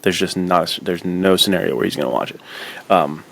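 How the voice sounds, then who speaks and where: A man's voice: low voice, fairly quick, creaky, a bit bright. A man co-hosting a podcast, chatting casually about films in slangy, buddy-like talk full of "dude" and "like". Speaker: podcast co-host. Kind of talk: casual film chat.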